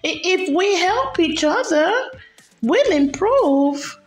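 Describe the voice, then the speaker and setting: A woman's voice: very high voice, sing-song, slow pace, reverberant, faint music behind, voice pushed up, heavily acted. A woman voicing a struggling but hopeful storybook flamingo, earnest and singsong.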